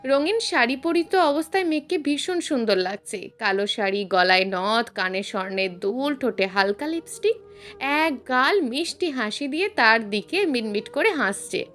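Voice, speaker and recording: High, animated woman storyteller. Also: moderate pace, faint music behind. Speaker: story narrator. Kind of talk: scripted narration of a romantic serial story.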